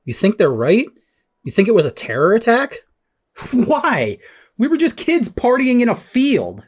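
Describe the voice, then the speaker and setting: Popular high school athlete, male actor: direct, caring, animated pitch movement, audio drama. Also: unhurried, slightly rough, full character voice. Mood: concerned. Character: popular teenage jock boy, concerned and direct.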